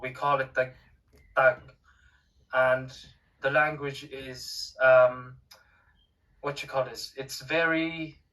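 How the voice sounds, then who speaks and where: A young man with an accent that blends Cork with Filipino, speaking as a guest in casual conversation. His voice is bright and forceful. He sits a little back from the mic and keeps stopping on fillers and restarts. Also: medium pitch, level tone, slow, pauses often, recorded from a distance, rough recording. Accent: mixed Cork and Filipino. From Ireland. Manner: hesitant, many fillers and restarts. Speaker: podcast guest.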